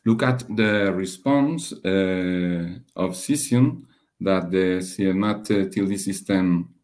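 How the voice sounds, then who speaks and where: A man giving a technical slide presentation as a webinar speaker, halting and hesitant, often pausing and rephrasing. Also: deep voice, darkish tone. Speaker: webinar presenter, radiation dosimetry researcher.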